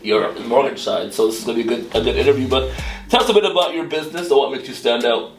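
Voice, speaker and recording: A man's voice: mid-range voice, rapid-fire, far from the mic. A man asking questions in a casual, chatty way as an interviewer. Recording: echoey room, off mic, faint music behind. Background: faint music.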